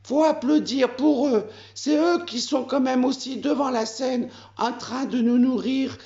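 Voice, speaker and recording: A man voicing a slow, greedy furry pet. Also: extremely high pitch, dark tone, lo-fi sound, pitched above the performer's own voice. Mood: earnest. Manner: slow, simple, drawn-out talk. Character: greedy furry male pet, slow-talking and always hungry.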